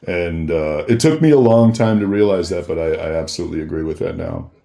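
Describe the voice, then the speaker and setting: A man's voice: low-pitched, a little fast, vocal fry, dark tone. A man chatting casually as a podcast guest, often breaking off and restarting his thoughts. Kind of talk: casual chat.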